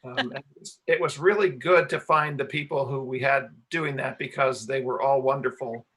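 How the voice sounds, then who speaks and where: A man's voice: mid-range voice, steady tone, moderate pace, a bit bright. A man answering questions as a radio play writer and director at a live Q&A, explaining things in a hesitant, rambling way. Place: talk before a live audience.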